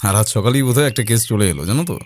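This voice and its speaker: A man playing a calm, observant detective in an audio drama, speaking deliberately with thoughtful pauses. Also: fairly low pitch. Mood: calm, pondering. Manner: deliberate, pausing, hesitating mid-thought.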